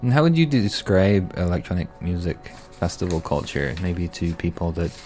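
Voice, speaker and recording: A very low, mellow male voice hosts a casual radio interview in a studio. He asks unhurried, halting questions, full of ums and restarts. Faint ambient music plays softly behind him. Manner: slow, halting questions with many fillers. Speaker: radio show host.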